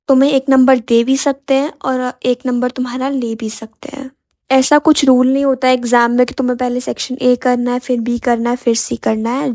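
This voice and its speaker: A young woman teaching an exam-prep lesson with a hoarse, sore voice, chatting directly to her students in a mix of Hindi and English. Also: high-pitched, a little mellow.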